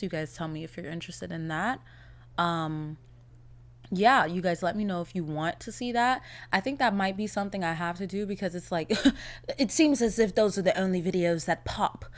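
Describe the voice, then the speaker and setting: Young woman, podcast host, blunt and cursing, slightly raspy throat, lively solo chat. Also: mid-range voice. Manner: blunt, profane, animated storytelling.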